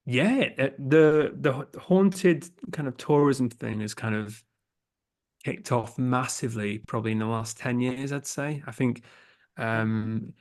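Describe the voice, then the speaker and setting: Gravelly young English man, hesitant. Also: medium pitch, a little slow, a little mellow. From England. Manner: hesitant, many fillers and restarts. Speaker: podcast producer guest. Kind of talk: casual interview chat.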